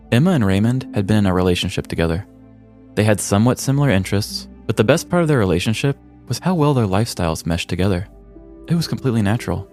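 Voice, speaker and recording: A man's voice: on the low side, a little mellow. An American man hosting a podcast, reading a scripted comedic story with arch, theatrical mock-seriousness. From United States. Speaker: podcast host.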